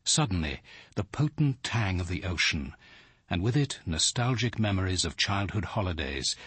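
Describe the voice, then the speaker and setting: An actor playing a reserved, dryly witty detective-poet, with an English accent, in a measured, understated radio drama style. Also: male voice, low voice, a bit bright, husky, no echo, exaggerated voice. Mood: reflective. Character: reserved, dryly witty male detective who writes poetry. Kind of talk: British radio drama acting and reflective narration.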